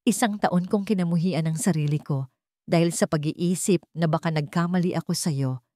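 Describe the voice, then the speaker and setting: A woman's voice: lowish voice, moderate pace, dry recording, clean studio sound, lightly acted. A woman voicing a wealthy male boss who feels betrayed, bitter and accusing in a radio-style love drama. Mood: bitter, wounded. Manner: bitter accusing, shouts commands. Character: angry betrayed wealthy male boss, cold then explosive.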